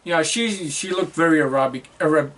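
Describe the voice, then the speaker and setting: High-voiced man, distant mic. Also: normal speed, a bit bright. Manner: chatty, hesitant, agreeable. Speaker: podcast co-host. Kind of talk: casual movie discussion. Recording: distant mic with faint noise.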